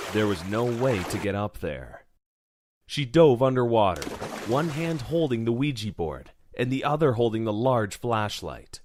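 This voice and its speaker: A man reading dramatic scripted narration for a horror audio drama. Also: medium pitch.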